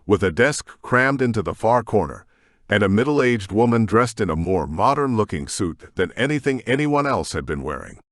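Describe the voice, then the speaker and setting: A man narrating a science fiction audiobook in a steady, measured way. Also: fairly low pitch, dry recording, clean studio sound. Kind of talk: scripted narration.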